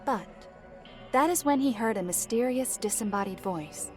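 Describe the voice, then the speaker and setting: A woman playing a composed seer who recounts events in a fantasy audio drama, formal and measured. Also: fairly high pitch, animated, soft background music, close to an everyday voice. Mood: grave storytelling. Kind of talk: visual-novel audio drama storytelling.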